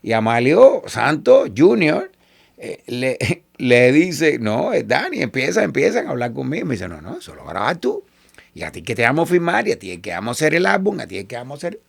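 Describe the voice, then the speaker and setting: A Cuban man with a Cuban Spanish accent, a salsa singer being interviewed. His voice is gravelly and sits at an ordinary middle pitch. He tells his stories with animation and keeps acting out what other people said. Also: fairly quick. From Cuba. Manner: animated, quotes others in lively asides. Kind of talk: casual interview storytelling.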